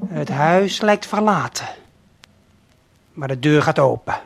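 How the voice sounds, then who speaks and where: A man narrating an audio play in the second person, deliberate and suspenseful, speaking straight to the listener. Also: a little high, expressive, gravelly, noisy background. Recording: late 1970s vinyl LP production. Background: prominent music.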